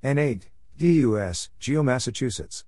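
A man narrating a practice lesson, reading call signs and short phrases in a clipped, deliberate way. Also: mid-range voice, animated, warm tone. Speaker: Morse code practice narrator.

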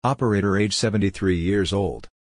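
A man's voice: sits low, fairly quick, very noisy. A man reading short, separate scripted phrases as an instructor for a listening drill. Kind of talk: scripted phrase readout for Morse code drills.

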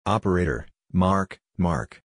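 Low-pitched, slow-speaking man. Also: no room sound, studio quality. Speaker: narrator of a Morse code phrase drill. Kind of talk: scripted read-out of short radio phrases.

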